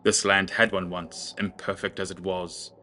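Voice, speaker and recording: A man plays a ruthless sorcerer lord from a fantasy audio drama. The voice is low and sharply forceful, with cold, mirthless grandeur. Every phrase is delivered like a pompous oration. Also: flat delivery, moderate pace. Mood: lofty contempt.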